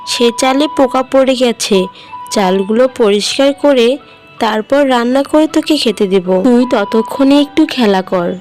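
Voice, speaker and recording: A woman voicing a poor, kind village mother in a fairy-tale cartoon, gentle and earnest with a sorrowful edge. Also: on the high side, normal speed, faint music behind, lightly acted.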